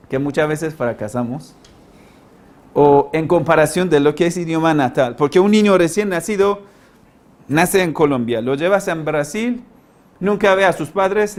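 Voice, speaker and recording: Male teacher, foreign-accented non-native speaker, slightly high voice. Informal, didactic lecture delivery, halting, with emphatic key words. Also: darkish tone. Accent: foreign, non-native Spanish. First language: not Spanish. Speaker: language-learning teacher, lecturer.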